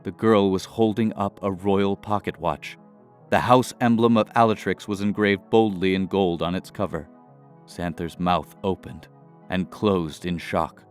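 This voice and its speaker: A man's voice: low-pitched, steady tone, measured pace, soft background music. A man narrating a scripted fantasy audio drama as its storyteller.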